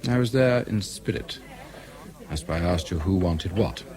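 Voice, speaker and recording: An actor playing a shrewd, needling political insider with a British accent, probing slyly in a clubby manner. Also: male voice, low-pitched, normal speed, crowd noise, close to an everyday voice. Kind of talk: radio drama dialogue.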